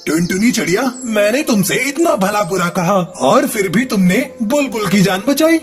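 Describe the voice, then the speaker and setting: Rich papa bird for kids' cartoon, male actor: strikingly high-pitched, strict and protective, music beneath. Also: animated, moderate pace. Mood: humbled. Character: rich, stern father bird, protective of his daughter. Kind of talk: children's cartoon dialogue. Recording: music under the voice.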